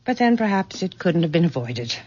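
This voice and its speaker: Through a dull, lo-fi radio recording comes a proud middle-aged matriarch. She is haughty and formal, and her pitch swings dramatically as she commands. It is bitter, imperious old-time mystery acting. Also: big pitch swings, a little fast, darkish tone, husky. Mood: insistent, imperious.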